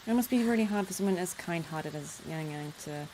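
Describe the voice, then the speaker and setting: A woman's voice: fairly low pitch, fairly quick, dark tone, a little hiss or hum. A woman giving casual live commentary on a game, thinking aloud as she reacts and muses. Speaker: game streamer. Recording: game music behind the voice. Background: game music.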